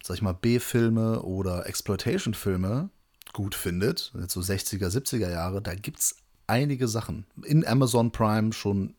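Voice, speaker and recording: A man's voice: fairly low pitch, measured pace, a little mellow. A man talking casually about films as a podcast co-host. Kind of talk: casual film chat.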